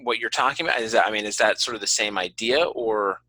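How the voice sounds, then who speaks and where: A man's voice: lowish voice, fast, bright, forceful tone, no room sound. A man hosting a casual interview, asking informal questions and often chiming in with short acknowledgements. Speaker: podcast host, interviewer.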